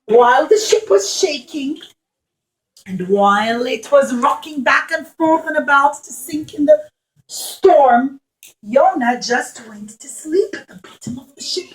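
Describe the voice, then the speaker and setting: A woman's voice: sits high, roomy sound, poor audio. A woman telling a story to young children as a puppeteer, explaining things simply and with repetition.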